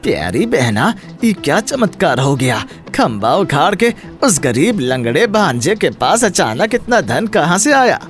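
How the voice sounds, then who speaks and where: A man voicing a scheming, wicked village uncle in an animated Hindi moral story, with a theatrical, sly drawl. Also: a little high, unhurried, few pauses, soft background music. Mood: envious, baffled.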